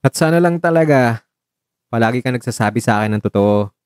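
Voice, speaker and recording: A man's voice: mid-range voice, animated, fairly quick, clear, resonant tone, no room sound, clean studio sound, lightly acted. A man playing a caring, nagging man who frets and gets exasperated in an audio drama. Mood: caring, insistent. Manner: exasperated, insistent, emotional. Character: Marco, caring young man, nagging and fretful.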